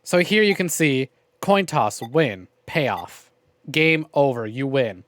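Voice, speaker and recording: A man's voice: mid-range voice, expressive, takes it slowly, fairly bright tone, no echo. A man hosting a livestream, giving casual, conversational game commentary straight to his viewers. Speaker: livestream host.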